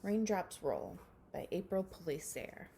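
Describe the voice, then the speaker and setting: Female early-years teacher, read-aloud for children. Unhurried, soft-edged tone with fry, slightly noisy recording. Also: on the low side, lively intonation. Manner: addresses children directly with guiding questions.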